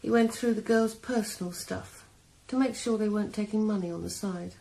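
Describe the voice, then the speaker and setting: A woman playing a cold, calm young killer. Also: mid-range voice, vocal fry, lightly acted, rough recording. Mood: cold confessing. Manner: calm, measured, quietly cold. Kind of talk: 1980s radio drama acting. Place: sauna.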